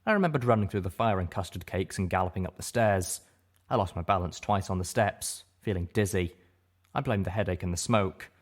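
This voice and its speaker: A man's voice: sits low, a little fast. A man reading a first-person story aloud as dramatic scripted narration. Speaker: audiobook reader.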